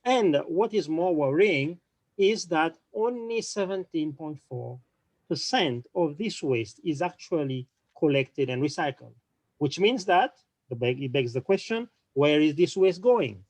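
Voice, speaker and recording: A man speaking on an online panel, talking quickly with frequent restarts and repeated words. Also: on the high side, expressive, a little mellow, no echo.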